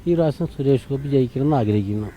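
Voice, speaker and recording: A man with a central Kerala Malayali accent giving informal opinions as a street interviewee, halting, repetitive and emphatic. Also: medium pitch, mellow. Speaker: local interviewee. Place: outdoors under a shade tree.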